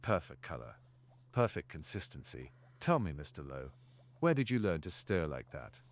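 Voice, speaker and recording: A stern potions master, with an extremely low voice that swoops with contempt. He speaks in clipped, deliberate phrases, sardonic and exacting, as in an audio drama. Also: very animated, full character voice. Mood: cold, sardonic. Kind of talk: fan audio drama acting.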